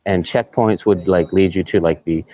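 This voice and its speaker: A man's voice: deep voice, fairly even intonation, normal speed, warm tone, dry recording, muffled recording. A man in his forties, rambling in a casual group chat and hunting for his words. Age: 40s.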